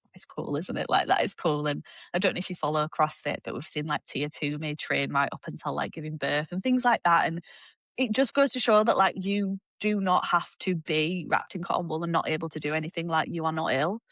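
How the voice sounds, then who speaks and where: A young woman with a Yorkshire accent, a women's fitness coach, talking in an animated, laughing way as a podcast guest. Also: low-pitched, dry recording. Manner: animated, laughs often, quick asides. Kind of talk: casual podcast interview.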